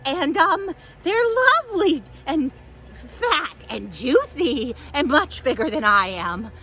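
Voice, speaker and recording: A woman's voice: very animated, slow pace, pushed and bright, exaggerated voice. A woman voicing a timid young female goat in a children's story, hesitant, pleading and wheedling. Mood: frightened, pleading. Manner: high-pitched pleading, hesitant.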